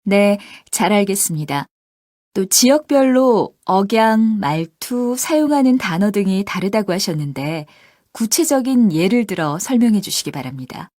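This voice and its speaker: A woman's voice: mid-range voice, expressive, normal speed, darkish tone, dry recording, studio quality. A woman reading scripted questions in a language-lesson recording, polite, careful and formal. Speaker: textbook audio voice actor.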